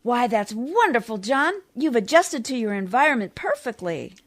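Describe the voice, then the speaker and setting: Woman acting a high-voiced, sly needling wife. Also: big pitch swings, normal speed, dry recording. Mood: sly, sweet. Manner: coolly teasing, sarcastic. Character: sly, scheming wife, coolly needling her husband. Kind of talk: 1940s radio comedy acting.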